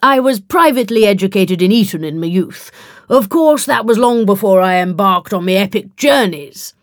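A woman voicing a gruff, boozy male adventurer in his forties, pompous and belligerent, in an audiobook story. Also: medium pitch, expressive, moderate pace, no room sound, clean studio sound, natural voice. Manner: blustering, old-fashioned upper-class phrasing.

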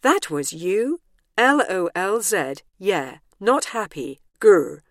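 A woman's voice: medium pitch, very animated, slow, no echo, clean studio sound. A woman voicing a sulky alien scout who talks in texting slang.

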